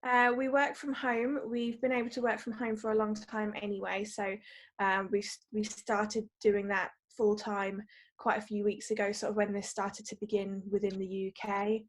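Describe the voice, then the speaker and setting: Woman, mid-pitched voice, matter-of-fact, video call from home. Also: level tone, normal speed. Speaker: interviewee.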